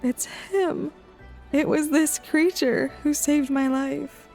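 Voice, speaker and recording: A woman's voice: high-pitched, takes it slowly, a little mellow, soft background music. A woman playing an anguished young woman voicing guilt-laden inner thoughts in an audio drama. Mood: awed realization.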